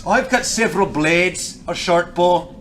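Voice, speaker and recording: Man putting on a jaunty high-pitched salty seafarer. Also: measured pace, a bit bright. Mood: playful. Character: jaunty salty seafaring adventurer with dark vision.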